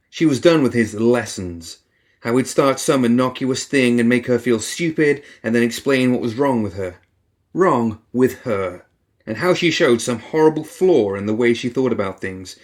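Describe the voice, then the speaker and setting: A man reading a fiction story aloud as an expressive audiobook narrator. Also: mid-range voice. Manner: expressive, takes on characters' inner voices.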